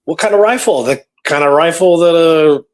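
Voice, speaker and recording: A man hosting a talk show, retelling a true story in a casual, animated way with repeated phrases and pauses for drama. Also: on the high side. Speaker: podcast host telling a true historical story.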